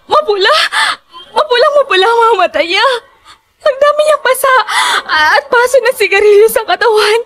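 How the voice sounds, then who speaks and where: A woman's voice: extremely high pitch, expressive, normal speed, a bit bright. A woman acting a frightened, suspicious young woman who pleads insistently with a friend in a horror audio drama. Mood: terrified, hysterical.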